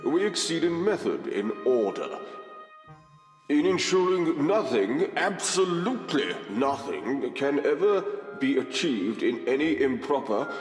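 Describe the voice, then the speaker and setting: Pompous official, swooping in an echoey hall. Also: male voice, fairly high pitch, very animated, unhurried. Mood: smug, grandiose. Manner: pompous, theatrical, savouring each phrase. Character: pompous male government official who delights in obstruction. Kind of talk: audio drama acting of Victorian period fiction. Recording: echoey hall with long reverb, music behind.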